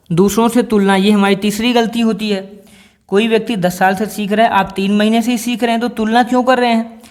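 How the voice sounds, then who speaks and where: A man giving a spoken singing lesson, patient and explanatory, repeating key points and posing rhetorical questions to his students. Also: high voice. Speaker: singing teacher.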